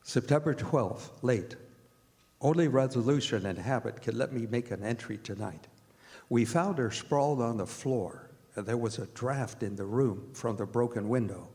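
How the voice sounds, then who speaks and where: An actor playing a somber doctor who narrates his diary in a measured, dramatic radio theatre manner. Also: mid-range voice, darkish tone, lightly acted. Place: performing arts center stage. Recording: echoey theatre hall with music behind.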